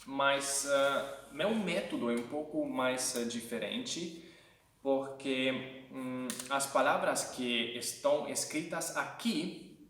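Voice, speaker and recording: A man's voice: medium pitch, slow pace, noisy background. A Hungarian man with a Hungarian accent and Hungarian as his first language gives casual language-learning tips, hesitating often, with many fillers and restarts. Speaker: language-learning video host. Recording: echoey room, mic at a distance.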